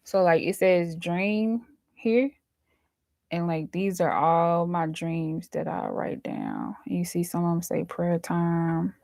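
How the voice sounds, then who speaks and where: A woman with a fairly low voice hosts a casual chat for her viewers. She speaks informally and colloquially, like someone telling stories. The sound is dry, with very little room in it. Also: sing-song, slow pace, vocal fry, a little mellow, no echo. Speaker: host, dream interpreter.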